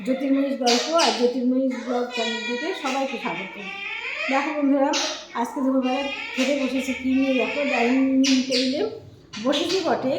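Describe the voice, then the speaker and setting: A woman chatting casually to viewers as a food vlogger while eating, repeating herself in a familiar, friendly address. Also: sits high. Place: indoors at a dining table at home. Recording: echoey room with faint background noise.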